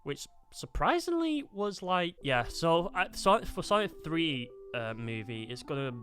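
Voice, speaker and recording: A man co-hosting a casual discussion, speaking haltingly and repeating words as he goes. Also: on the high side, big pitch swings, fairly bright tone. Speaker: podcast co-host. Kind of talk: casual chat about video game films. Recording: music behind the voice.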